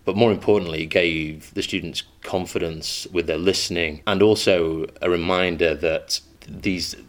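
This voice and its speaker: A man's voice: low-pitched, steady tone, moderate pace. A man who teaches modern languages at a school, talking earnestly and measuredly in an interview, with frequent ums. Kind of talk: interview monologue. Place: school in Manchester.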